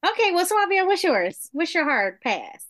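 A woman's voice: extremely high pitch, sing-song, moderate pace, fairly bright tone, dry recording. A woman hosting a casual group podcast, steering the topics and teasing her co-hosts bluntly. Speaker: podcast host.

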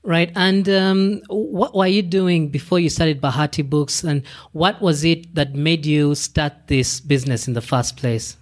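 Relaxed male podcast host. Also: on the high side, unhurried, hardly any pauses. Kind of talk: interview hosting.

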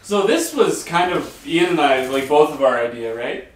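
Young dude rambling casually, says like a lot, slightly high voice, echoey far-off room. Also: male voice, expressive, normal speed. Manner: casual, storytelling with lots of "like". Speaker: podcast co-host. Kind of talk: casual podcast chat. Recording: echoey room, mic at a distance.

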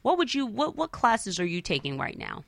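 A woman's voice: medium pitch, huge pitch swings, normal speed, creaky, a bit bright. A woman hosting a conversational radio talk show, speaking emphatically and repeating phrases for emphasis.

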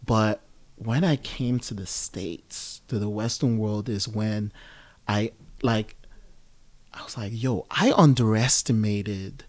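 A man in his thirties from Nigeria speaks in a lowish voice as a guest in an easygoing conversation. He thinks aloud, circling back with restarts and plenty of fillers. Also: slow. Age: 30s. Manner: reflective, rambling, many fillers. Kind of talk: casual conversation.

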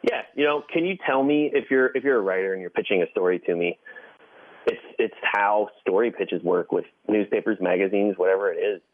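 Low-voiced man on a phone-like line. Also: brisk, dry recording. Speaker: podcast guest, editor. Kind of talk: conversational interview.

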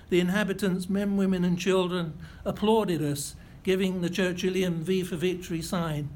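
An older man giving a lecture, reading out a first-hand account in a measured, precise way with a few self-corrections. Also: high-pitched. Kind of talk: reading a prepared memoir-style lecture.

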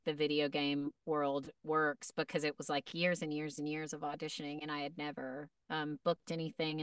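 A woman voice actor chatting as a podcast guest, telling stories in an animated way with many restarts and fillers. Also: low voice, smooth, no room sound, distant mic. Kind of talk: casual podcast storytelling.

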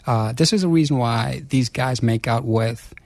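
A man, a guest in a radio interview, arguing forcefully with rhetorical questions and repeated phrases. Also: medium pitch, normal speed, no room sound. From South Africa. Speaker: chief investment officer, guest.

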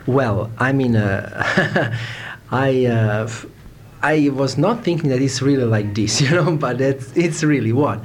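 A man's voice: mid-range voice, slow pace. A man from Serbia with a Serbian accent, answering interview questions conversationally and searching for words in English. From Novi Sad, Serbia. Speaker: interviewee, Hare Krishna devotee. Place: a room in India. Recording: faint background noise, informal room interview.

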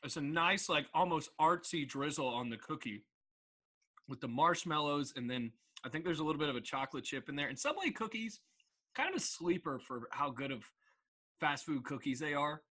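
Bright, jokey young man. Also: a little high. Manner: jokey, animated, quick asides. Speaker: co-host. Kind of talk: casual podcast chat.

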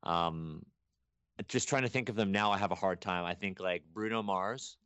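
A young man from Canada, a guest chatting casually on a podcast, rambling and animated with many restarts. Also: low-pitched, moderate pace, clean tone, no echo. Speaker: guest archaeologist.

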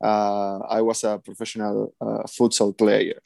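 Low gravelly man in his thirties, halting. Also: monotone, darkish tone, no echo. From Argentina. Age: 30s. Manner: halting second-language English, many pauses. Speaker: futsal player. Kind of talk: casual panel chat.

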